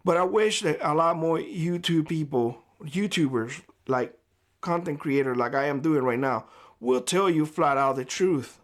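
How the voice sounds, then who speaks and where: Hoarse man giving blunt advice. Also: a little high, a little slow. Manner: blunt and lecturing. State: hoarse, losing his voice. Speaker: prepper YouTuber. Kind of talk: direct-to-camera vlog monologue. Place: homestead.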